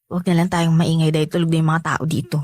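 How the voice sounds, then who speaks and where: A woman's voice: low-pitched, little pitch movement, quick pace, darkish tone, no echo, lightly acted. A woman playing a defensive, easily exasperated young Filipina girlfriend in a radio drama, emotional and protesting in a conversational way. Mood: hushed, wounded.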